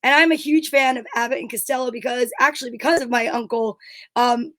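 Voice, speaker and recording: Woman, high voice, dry close sound, upbeat, enthusiastic, casual live-show banter. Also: fairly even intonation, brisk, a bit bright, no room sound. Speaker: co-host of an online comedy show.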